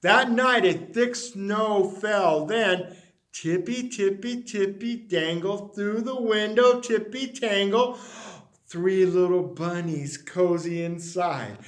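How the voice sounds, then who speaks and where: A man reading a children's picture book aloud, expressive and dropping to a hush on the refrains. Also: sits high, slow. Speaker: storyteller.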